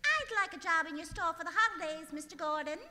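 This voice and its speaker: High, bright, scatterbrained young radio lady. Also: fast, slight background noise, exaggerated voice. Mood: innocent, chirpy. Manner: innocent, literal-minded delivery. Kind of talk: old-time radio comedy acting. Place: on stage in a museum hall before an audience. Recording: echoey hall, live stage performance.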